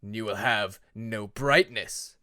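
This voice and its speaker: A man voicing a menacing, shadowy dragon from an audiobook story, with a drawn-out, ominous and taunting delivery. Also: fairly low pitch, bright, forceful tone, no room sound, clean studio sound, voice pushed down.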